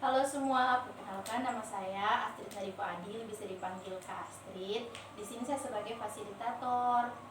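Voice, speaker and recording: A woman's voice: medium pitch, moderate pace. A young woman, a nursing student acting as facilitator, asking prompting questions in a group role-play. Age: young adult. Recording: roomy, distant, faint noise.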